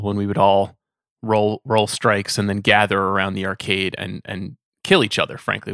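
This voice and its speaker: A man's voice: on the low side, a bit bright, no room sound, studio quality. A man hosting a conversational podcast, speaking in a wry way and putting long questions to his guests. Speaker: podcast host.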